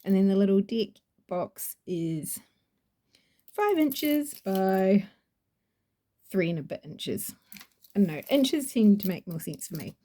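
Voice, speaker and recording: A woman with a New Zealand accent chats through a product review, gushing and rambling with plenty of fillers. Her voice is mellow and warm, at a middling pitch, recorded close to the mic. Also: very animated, takes it slowly, long pauses. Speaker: oracle deck reviewer.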